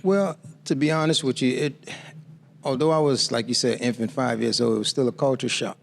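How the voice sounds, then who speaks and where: Gravelly Bahamian-born man in his fifties. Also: mid-range voice. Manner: measured, reflective storyteller. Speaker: guest author. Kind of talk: life-story interview.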